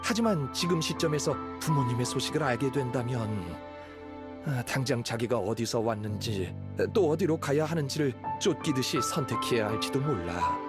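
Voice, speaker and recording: An actor playing a kindly, fatherly middle-aged hunter in an anime game, speaking in a measured, reassuring way. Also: mid-range voice, animated, over loud music, lightly acted. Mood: concerned, reflective.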